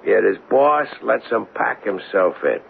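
An actor playing an eager, slangy police detective in a 1970s radio drama, insistent and brash. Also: male voice, fairly low pitch, big pitch swings, measured pace, creaky, heavily acted. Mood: insistent, puzzled. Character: eager hunch-driven married police detective, adult man. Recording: muffled, lo-fi, noisy old radio broadcast.

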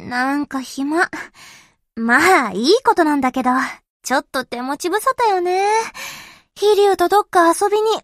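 A young anime warship girl for a game, devoted and cheerful. Her voice is extremely high and bright, with a perky, girlish delivery that swings widely in pitch. She speaks with sweet familiarity, like an earnest secretary. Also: animated, moderate pace, no room sound, studio quality. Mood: gently chiding, idle. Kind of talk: Japanese game voice acting, short scripted lines.

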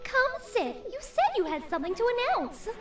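A woman playing a dainty, excitable young female pony in an amateur audio drama. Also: extremely high pitch, brisk, music in the background. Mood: inviting.

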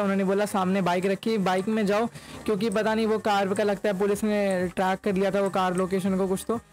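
A man's voice: high voice, a little mellow, rough recording. A young man giving chatty, casual gameplay commentary, mixing in English words, close on a desk mic. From India. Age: young adult. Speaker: gaming YouTuber. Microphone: desk microphone close to mouth.

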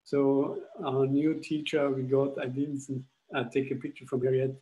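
A young man from Austria presenting his school project in a webinar over a video call, speaking English haltingly with frequent restarts. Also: medium pitch, flat delivery, dark tone, low-quality recording. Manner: halting, restarts phrases while explaining slides. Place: indoors at home. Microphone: laptop webcam video call.

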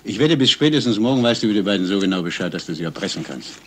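An actor playing a brisk, wry police colleague in a 1970s radio crime play. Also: male voice, fairly low pitch, animated, a little hiss or hum, close to an everyday voice. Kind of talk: 1970s German radio crime drama acting.

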